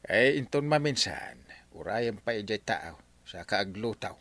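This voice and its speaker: A man's voice: on the low side, very animated, measured pace, a bit bright, dry recording, full character voice. A man playing a blustering, easily offended village husband in an Ilocano comedy radio drama, with an Ilocano accent. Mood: grumbling. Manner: blustering, exclaiming, confrontational. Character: hot-tempered village husband, quick to take offence.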